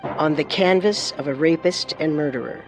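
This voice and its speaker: A woman co-hosting a scripted true-crime programme, narrating in a measured, serious way with music behind her. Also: low voice. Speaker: co-host narrator.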